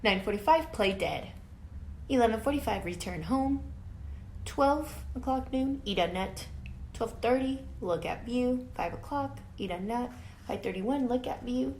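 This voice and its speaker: Animated woman reading aloud in a roomy space. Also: a little high, a little slow. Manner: dramatic, expressive, asks the children questions. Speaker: teacher reading a picture book aloud. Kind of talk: animated children's read-aloud with asides to listeners. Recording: roomy echo with faint background noise.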